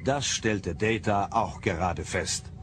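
A man's voice: fairly low pitch, slow pace, fairly bright tone, soft background music. A male actor narrating a German sci-fi audio drama soberly.

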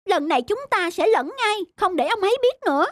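A woman voicing a small, mischievous young squirrel in a cartoon, with a childish, excitable way of talking. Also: extremely high pitch, fairly quick, very noisy. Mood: pleading, scared. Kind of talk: cartoon voice acting. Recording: faint music behind.

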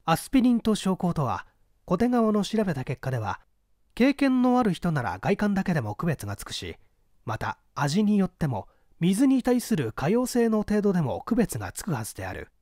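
Man narrating fiction, higher-pitched and very expressive. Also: moderate pace, no echo, clean studio sound. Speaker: audiobook reader, narrator of classic mystery fiction. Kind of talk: scripted literary narration.

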